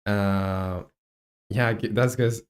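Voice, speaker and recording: A man's voice: on the low side, steady tone, very slow. A young man from Chile in his twenties, chatting casually while he works on a game and reads chat aloud, with lots of fillers and restarts. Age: 20s. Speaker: game dev streamer.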